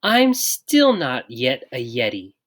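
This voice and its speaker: A storybook reader voicing a gentle, earnest young boy yeti who sounds plaintive and sincere. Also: medium pitch, wildly swooping pitch, slow pace, exaggerated voice.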